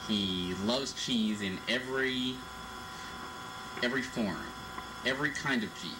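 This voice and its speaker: A man's voice: medium pitch, unhurried. A man telling a family anecdote as a father in casual talk, on a noisy homemade recording. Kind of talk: casual chat. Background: clear background noise.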